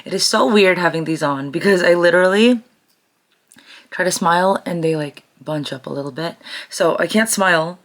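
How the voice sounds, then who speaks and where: A woman with an American accent and a low-pitched voice that is raspy from a lot of singing. She talks like a vlogger in an upbeat, effusive, chatty way, addressing her viewers casually. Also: moderate pace.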